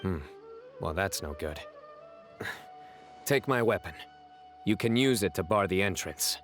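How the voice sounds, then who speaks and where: A man playing a stern, solemn young warrior in a video game, speaking in a deadpan, measured, grandiose way. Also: low voice, over music. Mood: serious, resolute.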